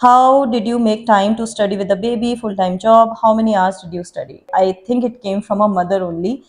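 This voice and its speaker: A woman's voice: mid-range voice, steady tone, fairly quick. A woman in her thirties, a native Hindi speaker, answering viewer questions in an earnest, reflective casual vlog. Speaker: vlogger.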